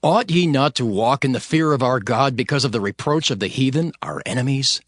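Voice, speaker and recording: A man playing an earnest, stern governor, narrating his own account in a solemn, measured dramatized scripture reading. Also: medium pitch, no echo, clean studio sound, lightly acted. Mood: stern rebuke. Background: background music.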